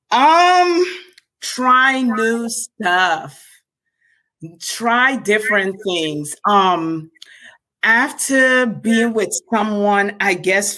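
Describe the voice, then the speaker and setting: A woman's voice: medium pitch, fairly bright tone, low-quality recording. A woman from Guyana with a Guyanese accent, chatting casually and reflectively as an interview guest.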